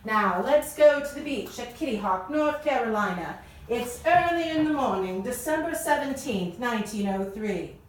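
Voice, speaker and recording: A woman's voice: on the high side, animated, moderate pace, hardly any pauses. A woman reading scripted storytelling narration as the narrator of a radio play. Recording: roomy echo, distant mic, music behind.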